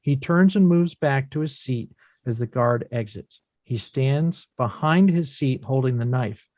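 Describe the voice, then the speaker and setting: A man's voice: medium pitch, lively intonation, unhurried, a little mellow, no room sound. Man narrating stage directions, dry sound. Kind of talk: scripted narration.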